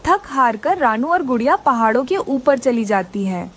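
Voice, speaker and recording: A woman's voice: sits high, big pitch swings, normal speed, a little hiss or hum. A woman narrating a scripted children's story.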